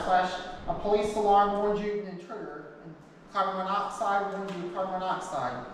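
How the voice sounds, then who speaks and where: A woman giving an informal class talk, partly reading from notes, speaking haltingly and repeating her phrases. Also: mid-range voice. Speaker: presenter teaching a class. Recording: echoey hall, distant mic, noisy background.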